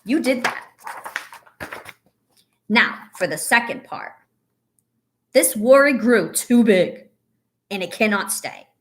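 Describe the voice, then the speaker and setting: Woman teacher for a children's read-aloud; unhurried, animated intonation, speaking straight to young listeners with clear encouragement. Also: a little high. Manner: instructive, encouraging, addressing young children directly. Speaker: teacher reading a picture book aloud. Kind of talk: children's read-aloud with guided breathing exercise.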